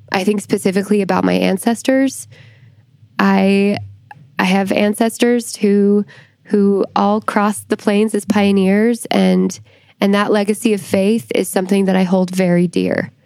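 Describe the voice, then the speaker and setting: A woman speaking as a church historian in a reflective, devotional discussion, earnest and measured in her delivery. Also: medium pitch, darkish tone, dry recording. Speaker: church historian, podcast guest.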